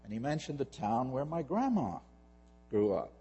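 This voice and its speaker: A man telling a personal story out loud, halting and repeating words as he goes, with a long hall reverb around his voice. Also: mid-range voice, big pitch swings, husky, dull audio.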